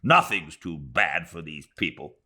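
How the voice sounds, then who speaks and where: A man with a British accent playing a loud, crude, blustering older English army major. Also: rumbling low, animated, a little slow, husky, heavily acted. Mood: brutal, gloating.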